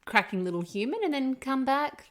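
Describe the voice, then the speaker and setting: A woman's voice: sits high, lively intonation, normal speed. A middle-aged woman with an Australian accent chatting casually as a podcast co-host, candid and emphatic, with an encouraging way of talking. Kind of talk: casual conversational podcast chat.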